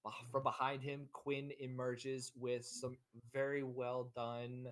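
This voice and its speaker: A man's voice: medium pitch, monotone, slow, fairly bright tone. A man running a tabletop game as game master, narrating scenes with easy hesitations.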